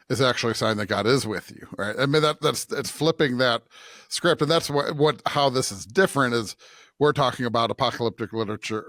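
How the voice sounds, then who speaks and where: A man with a medium-pitched voice, recorded dry and close. He speaks casually, as a podcast co-host does in conversation. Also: creaky, fairly bright tone, no echo.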